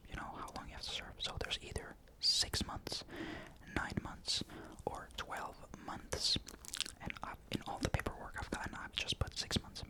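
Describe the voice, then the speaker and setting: A young man from Finland, chatting in a soft ASMR whisper into a desktop mic, rambling with lots of fillers. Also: low-quality recording. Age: young adult. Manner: soft unvoiced whisper throughout; rambling, many fillers and restarts. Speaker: ASMR creator. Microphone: desktop condenser mic.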